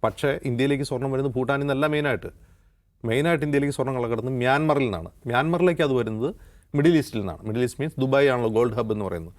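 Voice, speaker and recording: A man with a Kerala accent talks through current affairs on a discussion show. His delivery is fast and detailed, in an explanatory style at a medium pitch. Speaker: news anchor and panelist on a news discussion show.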